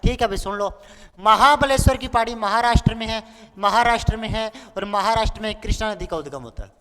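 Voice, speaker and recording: A man's voice: sits high, a little fast, a bit bright. A man of twenty-one teaching an exam-prep lesson in a playful, colloquial way, repeating key points as a drill. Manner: repetitive, playful, colloquial, acts out pupils' questions. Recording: noisy, echoing hall-like room with clicks.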